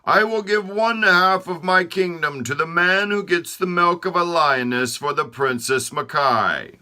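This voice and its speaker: A guy doing a yelling angry king, pitched up, bossy storybook royal. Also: measured pace, exaggerated voice. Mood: commanding. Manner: roaring, loud.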